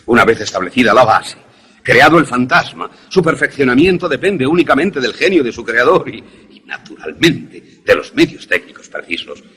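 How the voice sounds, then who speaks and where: Husky, animated man as a male actor's pedantic scientist. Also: mid-range voice, exaggerated voice. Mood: proud, lecturing. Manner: pedantic, formal, measured explanations with deliberate pauses. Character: erudite, self-assured male scientist who creates ghost images. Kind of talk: suspense and horror radio drama acting.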